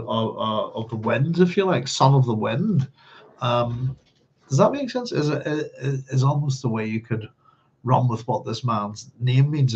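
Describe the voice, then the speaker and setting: A man with a Northern Irish accent and a slightly husky, mid-pitched voice rambles through an informal read-along of a novel. He often thinks aloud as he goes. The recording sounds a little dull and lo-fi. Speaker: book club host.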